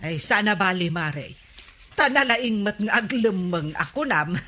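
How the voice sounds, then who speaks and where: A bright-voiced, disapproving Ilocano wife. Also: female voice, medium pitch, expressive, measured pace. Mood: gossipy, disapproving. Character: thrifty, disapproving wife of the village captain. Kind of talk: Ilocano comedy radio drama acting.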